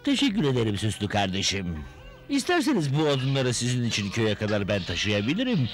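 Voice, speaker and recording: A man's voice: mid-range voice, wildly swooping pitch, fast talker. A man playing a greedy, scheming cartoon wizard who fakes kindness in an oily, theatrical, mock-sweet manner. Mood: mock-sweet, pleading. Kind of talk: animated cartoon dubbing. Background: background music.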